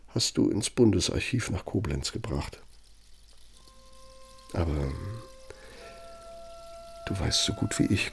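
An actor in a radio drama playing an embittered, proud older German man, a betrayed confidant who speaks with deliberate, sardonic reproach in a gravelly, husky voice. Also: lowish voice, darkish tone, exaggerated voice.

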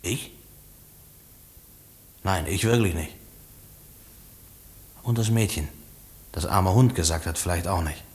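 A man's voice: deep voice, slow, long pauses. An actor playing a desperate, embittered jobless man who becomes a prisoner, in a post-war radio play, defiant and pleading. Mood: subdued, resigned.

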